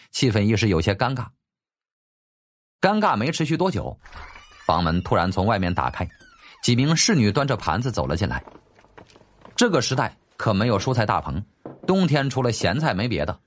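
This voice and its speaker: A man narrating a historical novel as an audiobook in an expressive, dramatic storytelling style. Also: medium pitch, husky.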